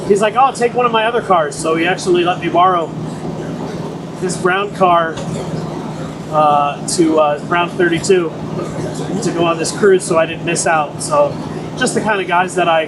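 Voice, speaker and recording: A man's voice: sits high, moderate pace, long pauses. A man in his forties talking casually in a vlog, rambling and joking about getting older. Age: 40s. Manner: chatty, rambling, jokes about his age. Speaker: vlogger. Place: at an outdoor car show. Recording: noisy outdoor car show.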